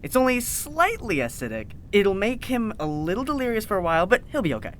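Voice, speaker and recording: A woman's voice: mid-range voice, very animated, brisk, a little hiss or hum, lightly acted. A woman playing an evasive, self-important scientist with a dry, haughty manner in a fiction podcast. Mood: dry, indignant. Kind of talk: audio fiction podcast acting.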